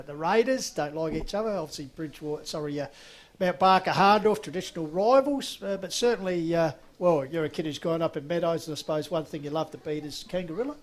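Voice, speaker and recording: A man with an Australian accent commentating local football and interviewing guests in a chatty, enthusiastic way. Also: on the high side. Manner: chatty, enthusiastic, many fillers. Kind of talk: local radio-style footy commentary.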